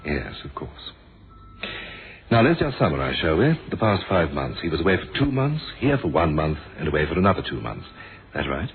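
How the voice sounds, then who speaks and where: An actor playing a dogged police inspector in a radio mystery, questioning coolly and formally with pointed pauses. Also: male voice, very deep voice, very animated, heavily acted. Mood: politely probing. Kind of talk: 1970s radio mystery drama acting. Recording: muffled, noisy old radio recording.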